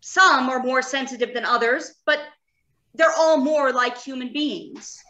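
Woman acting an earnest high-voiced scientist. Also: sharp tone. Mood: grave. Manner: emphatic, deliberate, with dramatic hesitations. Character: earnest, uneasy robot scientist, a doctor. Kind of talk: old-time radio drama acting. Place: home, remote video call.

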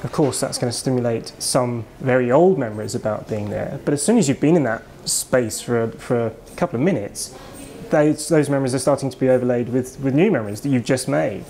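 A man's voice: medium pitch, brisk, few pauses, mellow, a little hiss or hum. British man explaining thoughtfully. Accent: British English. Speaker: author and psychologist. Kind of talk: interview answers. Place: kitchen shop, indoors.

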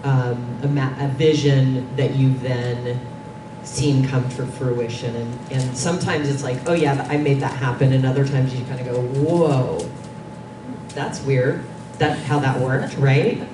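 A woman's voice: rumbling low, fairly even intonation, measured pace. A middle-aged woman giving an informal, conversational presentation on a panel in a meeting room. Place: dim conference meeting room. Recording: distant, echoey, noisy room recording.

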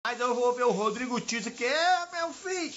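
A man's voice: very high-pitched, lively intonation, moderate pace. Middle-aged man, enthusiastic fan praise, muffled lo-fi clip, noisy background.

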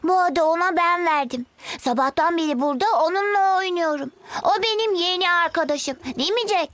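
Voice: very high-pitched, fairly quick, a bit bright, a little hiss or hum. An actor voicing an earnest little boy in a dubbed children's cartoon, with a simple childlike delivery. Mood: proud. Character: earnest little boy, easily disheartened, loves animals. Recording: music behind the voice. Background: music.

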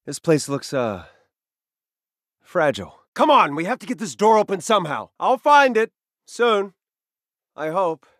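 Cocky young space pilot for a game, high voice, wry n urgent, really animated. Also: male voice, unhurried, pauses often, no echo, studio quality, heavily acted. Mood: wry, easygoing. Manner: urgent, wry, conversational asides. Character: cocky, wisecracking young male starfighter pilot. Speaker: video game voice actor. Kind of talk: video game battle and mission barks.

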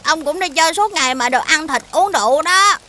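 A woman voicing a cheeky, taunting village child in an animated fairy tale. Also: high voice, quick pace. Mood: defensive. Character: cheeky, hungry village child teasing bullies. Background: music.

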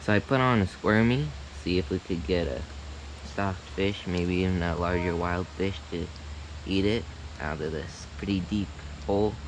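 A man giving low-key running commentary to himself while fly fishing, recorded outdoors on a head-mounted action camera. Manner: low-key, short remarks to himself and the fish. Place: outdoors wading a small stream. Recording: action camera with loud stream noise. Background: rushing stream water.

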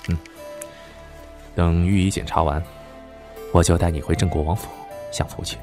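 A man's voice: deep voice, steady tone, moderate pace, a little mellow, soft background music. A man acting an earnest, theatrical prince regent in a Chinese costume audio drama. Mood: tender. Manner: theatrical, with sighs and exclamations. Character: male prince regent, time-traveller in a nobleman's body, earnest, dramatic. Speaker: amateur voice actor.

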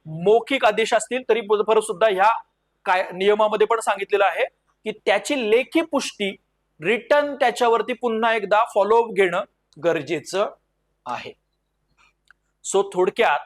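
A male exam lecturer explains his material step by step. He mixes English terms into his speech. He leans on key phrases and quotations, slowing down to stress them. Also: high voice. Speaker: civil services exam lecturer.

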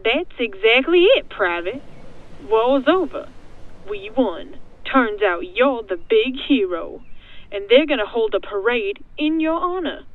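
A woman voicing a gruff, bossy, sarcastic male army sergeant in a fan parody cartoon dub, barking out orders. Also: fairly high pitch, lively intonation, unhurried, phone-quality sound. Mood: sarcastic, commanding. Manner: barking, drawling mock orders.

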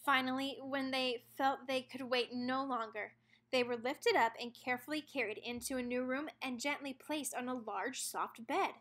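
A woman narrating a children's storybook aloud in an expressive read-aloud style. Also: sits high, moderate pace.

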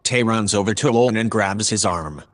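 A calm shipboard observer played by a man narrates a sci-fi audio drama. His voice sits on the low side. He describes everything in measured, matter-of-fact detail. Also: natural voice. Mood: calm, factual. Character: male narrating observer calmly reporting aboard a spaceship.

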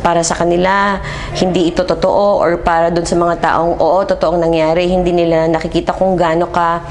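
Low-voiced middle-aged Filipino woman. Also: little pitch movement, a little hiss or hum. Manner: measured, switches between Tagalog and English. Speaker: interviewee, theatre festival organizer. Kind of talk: on-camera interview, explanatory talk. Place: indoor exhibit room.

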